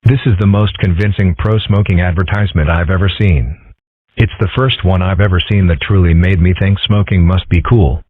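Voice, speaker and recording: A man voicing a calm, philosophical young male fox in a comic dub, husky, measured and deadpan. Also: sits low, dry recording. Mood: earnest. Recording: muffled narrow-band lo-fi audio.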